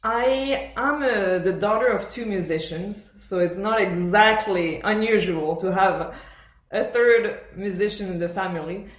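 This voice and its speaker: A woman's voice: mid-range voice, a little slow. Woman telling stories in an echoey room. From born in Argentina, raised in Montreal, Canada. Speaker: podcast guest, professional orchestral bassoonist. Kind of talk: conversational interview storytelling.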